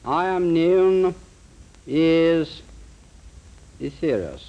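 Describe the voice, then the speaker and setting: Man voicing a solemn, raised-pitch alien from Venus. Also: animated, lo-fi sound, heavily acted. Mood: solemn, courteous. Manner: slow, stately, formal. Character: solemn, courteous male alien intelligence from Venus. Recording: 1950s broadcast recording.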